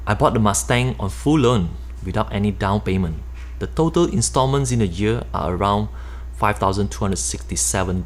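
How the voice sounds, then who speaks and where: A middle-aged man with a Malaysian accent reading his own nonfiction book aloud in a steady, explanatory way. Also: on the low side. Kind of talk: nonfiction audiobook narration.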